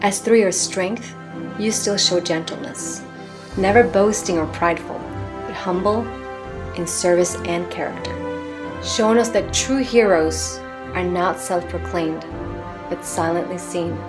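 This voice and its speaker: A woman's voice: on the low side, measured pace. A woman reading a solemn, scripted tribute about humility in service. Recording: reading over clear music.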